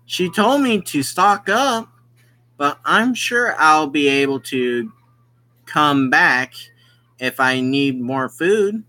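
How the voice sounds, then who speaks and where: A young man casually commenting on a visual novel and reading its text aloud, slangy and excitable. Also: fairly high pitch. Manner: slangy, excitable, exclaims often. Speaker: let's play gamer.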